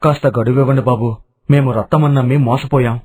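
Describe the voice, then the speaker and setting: A man's voice: mid-range voice, steady tone, fairly quick, darkish tone, breathy edge, close to an everyday voice. A man playing a weak, debt-ridden younger brother in a moral story, speaking in an emotional, fretful way. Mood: pleading. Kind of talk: acted moral-story dialogue.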